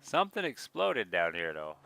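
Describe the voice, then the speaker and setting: A man giving casual, rambling let's play commentary, thinking aloud at an unhurried pace, slightly nasal with a mild sniffle. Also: low-pitched, huge pitch swings, vocal fry, bright, forceful tone. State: mild sniffle from a recent cold. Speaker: gaming video creator, player.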